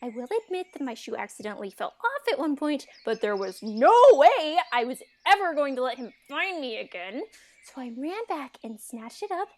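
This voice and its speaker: A woman's voice: high voice, sing-song, normal speed. A woman playing an exasperated, sarcastic young fairytale heroine in a comedic audio drama, with modern casual phrasing. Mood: exasperated, scolding. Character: exasperated, sarcastic young Cinderella dodging a suitor.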